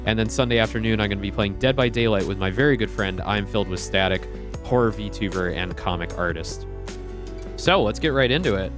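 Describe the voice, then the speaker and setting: Chatty low-voiced man streaming a game. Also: fairly quick, music in the background. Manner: friendly, chatty, greets chat by name. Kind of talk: casual game stream chat.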